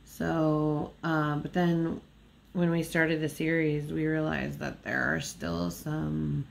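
Woman, nasal, slow-talking, low voice, rambling casual chat. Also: little pitch movement, creaky, slight background noise. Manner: rambling, many fillers and small laughs. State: just woken up. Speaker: diamond painting vlogger. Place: indoors at a craft table.

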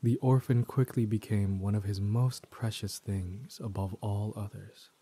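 Guy voicing an evil possessing spirit for a tragic audio drama, menacing and tormented, drawn out theatrical delivery, husky breathy voice. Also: fairly low pitch, steady tone, mellow. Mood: taunting storyteller. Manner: theatrical, slow and deliberate, dropping into whispers. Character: possessing villain spirit in a childhood friend's body, menacing, tormented. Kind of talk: scripted dramatic audio roleplay monologue.

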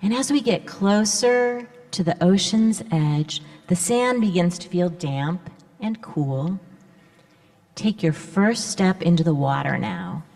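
Older woman, worship leader, low voice, slow pace, gentle guided imagery, church. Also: gravelly. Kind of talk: guided imagery for all ages. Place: large church sanctuary. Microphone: handheld microphone.

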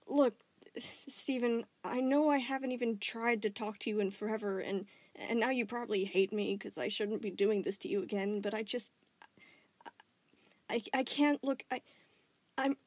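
A gentle young woman speaks hesitantly, full of concern, as a woman puts her on. Her voice is a touch higher than the narration, recorded dry and close. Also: expressive, unhurried, no echo. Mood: apologetic.

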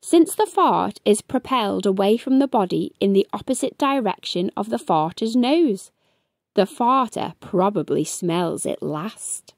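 A young adult woman reading a children's book aloud as storytime host, expressive and deliberate, speaking to young children. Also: mid-range voice, dry recording, clean studio sound. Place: small furnished room indoors.

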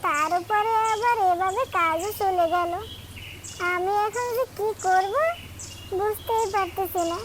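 Long-suffering poor mother and servant, female actor, squeaky-high, humble cartoon voice, noisy birdsong backdrop. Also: a little slow. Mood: sorrowful. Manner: plaintive, deferential. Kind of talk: cartoon dialogue. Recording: noisy track, chirping birds behind.

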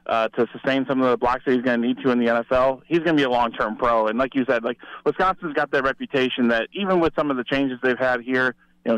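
A man's voice: mid-range voice, fairly bright tone, clear, resonant tone, no room sound, lo-fi sound. A man giving conversational football analysis as a guest sports reporter.

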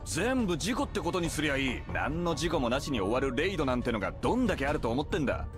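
An actor playing an anxious young gang underling in a video game, complaining and defensive. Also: male voice, fairly high pitch, big pitch swings, fast talker, over music. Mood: uneasy. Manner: agitated, whiny, defensive. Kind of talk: dramatic video game acting.

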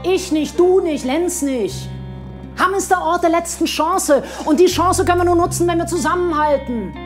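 A woman's voice: extremely high pitch, normal speed, soft background music. A woman playing a dry, probing police detective in a crime drama, with a wry, pointed and sardonic way of talking. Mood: pointed, earnest. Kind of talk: naturalistic audio drama dialogue.

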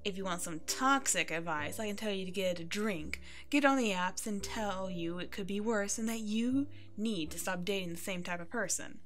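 A woman's voice: medium pitch, moderate pace, hardly any pauses, fairly bright tone, close to an everyday voice. A woman playing a chatty, caring, playful hairstylist who comforts a client in an ASMR roleplay. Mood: sympathetic, frank. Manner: chatty, bubbly, reassuring. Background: background music.

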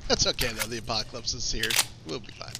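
Picture a man riffing over game footage into a close desk microphone, swearing freely and rambling through his asides. His pitch sits in the everyday middle for a man, and he jumps from topic to topic with plenty of animation. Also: quick pace, vocal fry, very noisy. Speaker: show host.

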